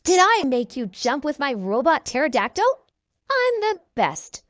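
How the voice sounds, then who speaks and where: Woman voicing a high, gloating boy storybook villain. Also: big pitch swings, unhurried, a bit bright, no echo, exaggerated voice. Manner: gloating, theatrical. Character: gleeful boastful boy villain who steals with robots.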